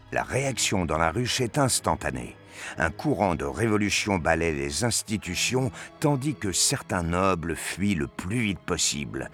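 A man's voice: low-pitched, fairly bright tone. A man narrating an audio drama as a scripted storyteller. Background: music.

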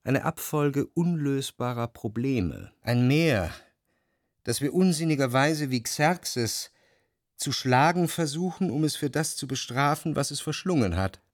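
A man playing a reflective, jealous lover who narrates his memories in measured literary prose for a radio drama. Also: medium pitch, lively intonation, no echo, studio quality, lightly acted. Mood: brooding reflection.

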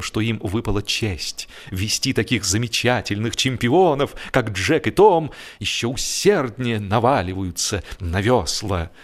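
Wry male narrator of comic fiction. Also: medium pitch. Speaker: audiobook narrator. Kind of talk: expressive humorous scripted narration.

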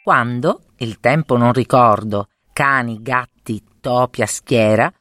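A woman reading a fairy tale as expressive scripted narration, with theatrical, deliberate phrasing. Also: very deep voice.

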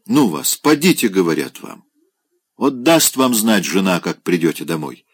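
A man's voice: low voice, very animated, moderate pace, slightly rough, no room sound, clean studio sound, exaggerated voice. A man voicing a blunt, grumbling female housemaid.

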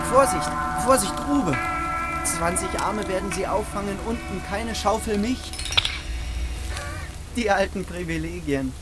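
An actor playing a cynical, disillusioned engineer in a stage-like radio drama, speaking in ironic, declamatory aphorisms. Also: male voice, high voice. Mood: wry. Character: cynical disillusioned male engineer, brooding intellectual dreamer.

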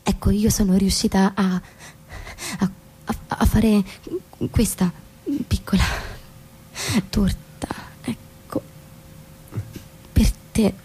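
Soft, husky, anguished young wife. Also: female voice, mid-range voice, little pitch movement, poor audio. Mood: flustered, apologetic. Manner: halting, repeating words, intense and confessional. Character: anguished young suburban wife confessing to husband. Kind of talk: theatrical radio drama dialogue.

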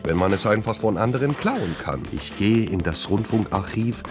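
Actor as low, lively confessional narrator. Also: male voice, normal speed, lightly acted. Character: self-doubting impostor director confessing his schemes. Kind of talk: scripted first-person radio play narration. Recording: music under narration.

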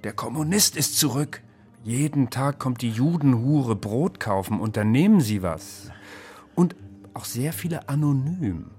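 A man's voice: medium pitch, lively intonation, unhurried, a little mellow, faint music behind, lightly acted. Man acting a smugly jovial, menacing Nazi official. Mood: veiled menace. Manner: oily, falsely courteous, insinuating. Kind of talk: radio drama acting.

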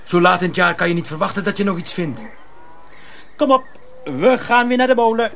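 A man's voice: high voice, lively intonation, a little fast, gravelly, a bit bright, slight background noise, full character voice. A man playing a cheery, simple, bustling mill hand in a radio play, hearty in manner. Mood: reassuring.

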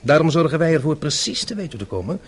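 Slightly noisy old radio drama, polite confident french agent guy, formal, measured, bit hesitant. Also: on the high side, sing-song. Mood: composed, confident. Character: courteous, self-assured French intelligence officer named Guy. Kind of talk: Dutch radio play acting. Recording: radio play with noisy background ambience.

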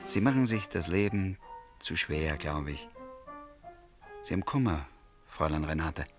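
A low-voiced man, a wry and courteous writer from a radio play. He narrates confidingly and speaks with theatrical politeness, with music in the recording. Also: lively intonation, unhurried. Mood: soft, earnest. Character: male writer, wry narrator, courteous and gently wooing a lodger. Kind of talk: German radio play acting, narration and dialogue.

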